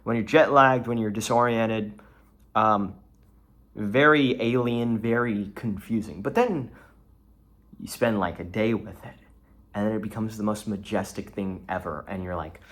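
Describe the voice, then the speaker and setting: A man's voice: lowish voice, measured pace, fairly bright tone. A young man from Texas, rambling through a solo vlog with lots of restarts and fillers. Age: young adult. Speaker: vlogger.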